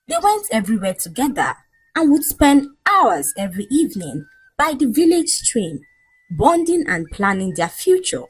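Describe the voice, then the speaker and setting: A woman's voice: fairly high pitch, big pitch swings, moderate pace, husky, poor audio. A woman narrating a folktale in a scripted, dramatic storytelling style.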